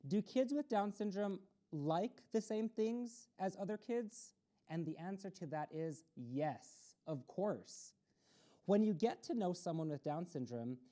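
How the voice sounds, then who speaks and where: A woman's voice: sits low, dark tone. A woman hosting a children's story time, reading aloud and explaining things simply, posing questions to young listeners.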